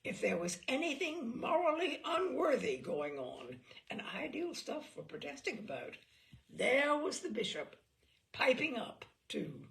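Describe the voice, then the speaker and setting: A woman reads a comic memoir aloud with a wry, amused delivery. Her voice has a strong gravelly fry, and her pitch rises and falls in lively swoops. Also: unhurried. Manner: wry, playful, amused delivery with occasional slips and laughs. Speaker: reader of humorous essays. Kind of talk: expressive reading of a comic memoir.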